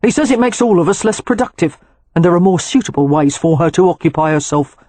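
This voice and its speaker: Dutiful, stiff young man, voiced by a woman narrator. Formal and proper, a touch husky. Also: lowish voice, lively intonation, fast, dark tone, no echo. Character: young male hotel manager, dutiful and stiff.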